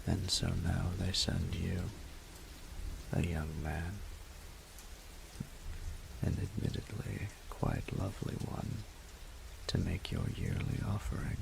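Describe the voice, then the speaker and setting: A man voicing an ancient, haughty and flirtatious male dragon in a roleplay audio drama, speaking in a formal, imperious, deliberate way. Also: background noise, exaggerated voice. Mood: solemn, appraising. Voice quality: breathy, gravelly low voice.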